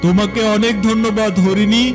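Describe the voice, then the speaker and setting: A man's voice: high voice, level tone, moderate pace, over music, natural voice. A man playing a stern, formal lion king of the jungle in a children's cartoon. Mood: stern, indignant.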